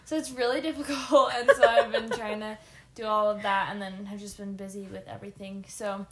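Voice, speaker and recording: A woman's voice: mid-range voice, fairly even intonation, fairly quick, a crowd in the background. A young woman co-hosting a podcast, chatting casually and laughing often, her voice a little worn. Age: young adult. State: voice slightly worn, going in and out. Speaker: podcast co-host. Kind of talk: casual chat.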